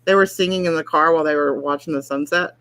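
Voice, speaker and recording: A woman's voice: low voice, fast talker, a bit bright. A woman hosting a casual late-night livestream, chatty, telling stories and reacting to chat. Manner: chatty storyteller, reads out chat comments. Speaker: livestream host.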